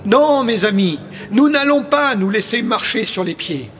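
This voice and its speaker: A man's voice: sits high, animated, measured pace. A man playing a pompous, impassioned leader of an amateur resistance in an audio comedy drama, speaking in grandiloquent declamation. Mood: rousing, pompous.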